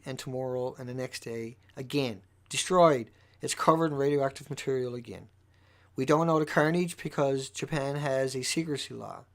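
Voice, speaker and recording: Creaky, higher-pitched man livestreaming with urgency. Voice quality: squeaky voice. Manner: urgent, emphatic, repeating phrases for stress. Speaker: livestream host. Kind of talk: impassioned off-the-cuff livestream monologue.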